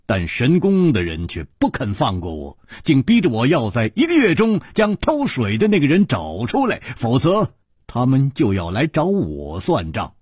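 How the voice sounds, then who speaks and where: A man voicing a composed, rueful young hero in an audiobook story, measured and sighing. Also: mid-range voice, huge pitch swings, darkish tone, husky, no room sound, clean studio sound, pitched above the performer's own voice. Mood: troubled, rueful.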